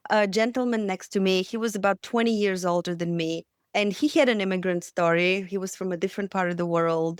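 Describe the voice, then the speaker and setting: A woman's voice: medium pitch, clean tone, no echo. Middle-aged Bulgarian-accented woman, podcast guest, earnest storyteller, dry recording. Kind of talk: storytelling interview.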